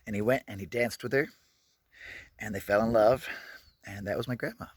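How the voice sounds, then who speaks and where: A man's voice: fairly low pitch, normal speed, dry recording. A man in his thirties vlogging casually outdoors while walking, telling his family story in a candid, rambling way that often corrects itself. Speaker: travel vlogger. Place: outdoors at a skate park. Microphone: handheld camera while walking.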